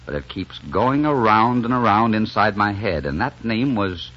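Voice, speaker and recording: A man's voice: on the low side, expressive, normal speed, a bit bright. An actor playing a stubborn, intense romantic leading man in a 1940s radio drama. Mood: probing, intense. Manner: intense, insistent. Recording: muffled 1940s radio broadcast.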